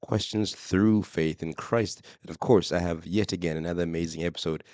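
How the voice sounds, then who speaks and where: A man hosting a solo faith podcast with a hoarse, worn voice, talking emphatically, repeating himself and using many fillers. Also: low-pitched, fairly even intonation, moderate pace, a little mellow, no room sound. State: hoarse, lost voice. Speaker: podcast host.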